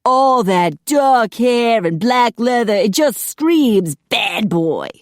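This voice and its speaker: A woman's voice: on the high side, animated, takes it slowly, no room sound, studio quality, voice pushed up. A woman voicing a flamboyant, fussy young male hair stylist in an audiobook, camp, dramatic and effusive. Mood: appraising.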